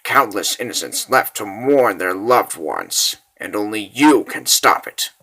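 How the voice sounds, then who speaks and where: A man voicing a grave, solemn mentor in a comic dub, speaking slowly and low. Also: lively intonation, sharp tone, heavily acted, rough recording. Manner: slow, solemn, low-voiced. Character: grave, solemn mentor urging a warrior onward.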